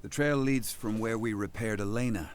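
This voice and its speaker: Man playing a low, gruff, proud old sage. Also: normal speed, lightly acted. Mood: measured, instructing. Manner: formal, stern, haughty. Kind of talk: video game voice acting.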